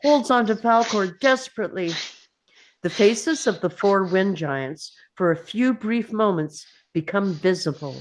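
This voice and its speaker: A woman's voice: mid-range voice, lively intonation, a little slow, poor audio. A woman narrating scripted stage directions for a screenplay read.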